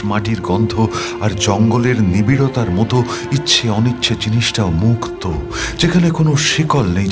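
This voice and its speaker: A man's voice: fairly low pitch, close to an everyday voice. A man performing an audio story as a restless young man writing a love letter, reflective and questioning, with music behind him. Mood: yearning. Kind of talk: dramatic audio story narration.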